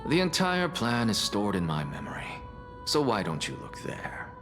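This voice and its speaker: Snooty sarcastic scholar in a fantasy game, super dramatic up and down, dry and fed up, music behind. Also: male voice, lowish voice, wildly swooping pitch, moderate pace. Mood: exasperated. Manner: dry, haughty, exasperated, theatrical. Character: proud, sardonic male scholar, weary of being teased. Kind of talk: video game voice acting.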